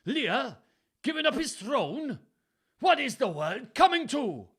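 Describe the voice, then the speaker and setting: Excitable, high-pitched old Welsh earl. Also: male voice, takes it slowly, slightly rough, exaggerated voice. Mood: dismayed. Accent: wobbly put-on Welsh. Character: agitated old Welsh earl, muttering and snarling.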